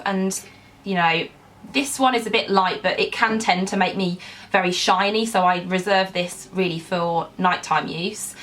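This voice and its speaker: A young woman talks through her routine in a relaxed, chatty way. She sounds congested from a cold, and her talk is full of ums and little hedges. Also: medium pitch. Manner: chatty, with frequent ums and kind ofs. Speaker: beauty vlogger. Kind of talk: casual skincare walkthrough.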